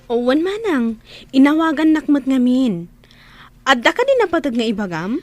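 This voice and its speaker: A woman's voice: high-pitched, very animated, moderate pace, darkish tone, soft background music. An actress playing a respectful younger woman, a careful go-between, in an Ilocano radio drama. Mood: puzzled, curious.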